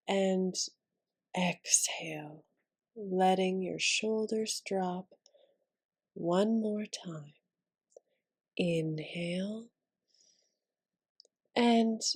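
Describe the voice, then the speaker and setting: A woman leading a guided meditation in a soothing, breathy, whispery voice, leaving long pauses between gentle instructions. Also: on the low side.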